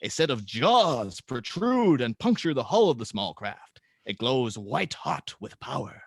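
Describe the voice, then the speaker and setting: A man hosting and narrating a script read-through in a casual, somewhat halting manner. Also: mid-range voice, big pitch swings, breathy edge, no echo, studio quality. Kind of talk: casual introduction, then reading screenplay directions aloud.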